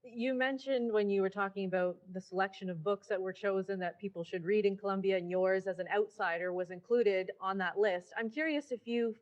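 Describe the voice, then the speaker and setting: A woman hosting an interview, her voice mid-pitched. She puts her questions in a searching, halting way. The sound is dull and lo-fi. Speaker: interview host.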